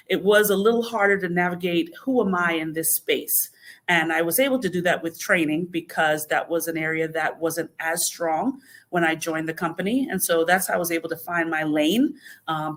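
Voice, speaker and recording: A woman from the Bahamas, a podcast guest, speaking in a measured, explanatory way with frequent fillers. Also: on the low side, fairly bright tone. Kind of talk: conversational podcast interview.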